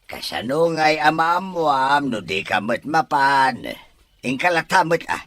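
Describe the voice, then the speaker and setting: A man's voice: on the high side, animated, unhurried, a bit bright. A man with a Filipino accent playing an exasperated, scolding husband in an audio drama. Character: exasperated, scolding husband nagging about gossip. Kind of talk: Ilocano audio drama acting.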